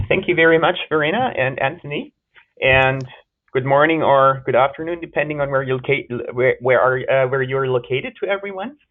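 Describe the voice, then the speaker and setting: A man from Germany with a German accent giving an earnest webinar research presentation, pausing on fillers and correcting himself now and then. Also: mid-range voice. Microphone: video call. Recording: tinny phone-like line.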